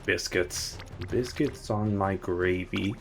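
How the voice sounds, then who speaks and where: A man's voice: deep voice, takes it slowly, over music. A man streaming a game, chatting casually in a rambling, jokey way and correcting himself as he goes.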